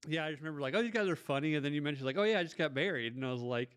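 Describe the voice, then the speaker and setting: A man's voice: on the high side, expressive, very fast, fairly bright tone, clear, resonant tone. A man in his thirties hosting a podcast, teasing his guest and telling casual stories with some swearing. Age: 30s. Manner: ribbing, anecdotal, casual swearing. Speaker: podcast host.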